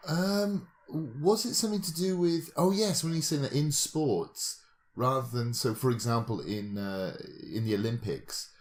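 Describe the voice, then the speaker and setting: A man's voice: mid-range voice, a little slow. A man co-hosting a podcast, joking and bantering in casual conversation. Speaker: podcast co-host.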